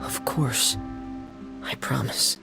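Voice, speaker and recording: An actor playing a shy, gloomy teenage boy in an anime-style video game, soft, breathy, hesitant and subdued. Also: slow, lightly acted. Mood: quiet, sincere. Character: shy, withdrawn, gloomy teenage boy, loyal younger brother. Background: game music.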